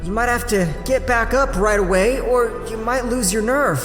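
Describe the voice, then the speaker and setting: Young acrobat boy, high voice, earnest, determined, polite, audio drama, echoing hall. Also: moderate pace, over music. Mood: resolute.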